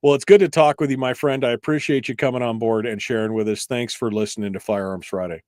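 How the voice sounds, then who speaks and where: A man's voice: medium pitch, fairly even intonation, quick pace, darkish tone, dry recording, studio quality. A male talk radio host speaking live in an emphatic, conversational, rambling way, giving his opinions and asking rhetorical questions.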